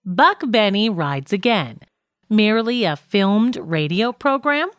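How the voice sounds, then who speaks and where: A woman reading a nonfiction audiobook as narrator, in a measured, articulate manner. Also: mid-range voice, sing-song, no room sound, clean studio sound.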